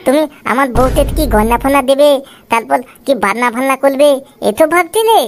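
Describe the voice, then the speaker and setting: A woman's voice: sits high, animated, brisk, slight background noise. A woman voicing a whiny, eager little boy in a comic cartoon. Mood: excited, eager. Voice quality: babyish lisp. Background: music.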